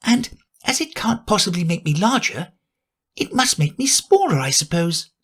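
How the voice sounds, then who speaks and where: A man's voice: high voice, sing-song, normal speed, husky, voice pushed up, exaggerated voice. A man voicing a curious, sensible young girl who muses aloud to herself, in a storybook reading. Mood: musing.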